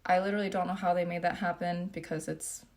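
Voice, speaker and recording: A young woman from Japan chatting casually through a livestream Q&A, reading comments aloud while doing her makeup, relaxed and full of fillers. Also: on the low side, fast. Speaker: beauty vlogger.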